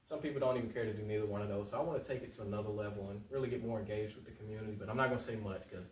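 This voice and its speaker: A man's voice: lowish voice, very fast. A man giving casual, conversational interview answers as a political candidate, colloquial and emphatic, repeating his points for stress. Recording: echoey room, distant mic, faint noise.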